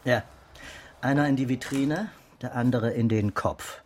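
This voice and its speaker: An actor playing a sardonic police detective, briefing in a clipped, matter-of-fact way in a naturalistic radio crime drama. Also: male voice, mid-range voice, a little slow, a little hiss or hum, lightly acted. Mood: dry, matter-of-fact.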